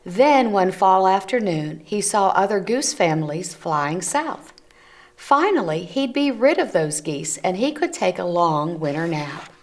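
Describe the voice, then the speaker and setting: A woman reading a picture book aloud to children, gently explaining the pictures as she goes. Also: fairly low pitch, sing-song, measured pace. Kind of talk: read-aloud storytelling for children. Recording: community TV recording.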